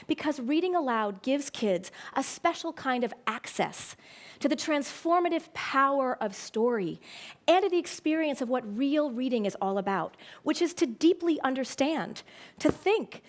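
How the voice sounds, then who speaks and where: A middle-aged woman speaking as an educator in a prepared talk, telling stories in an expressive, emphatic way. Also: high voice. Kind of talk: prepared public talk with storytelling.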